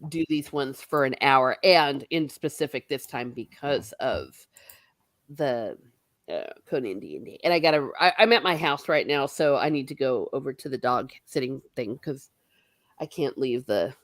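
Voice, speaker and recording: A woman's voice: rumbling low, lively intonation, a little slow, dry recording, clean studio sound. A woman hosting a casual livestream from her home, chatting, laughing often and reading viewer comments aloud. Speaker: stream host.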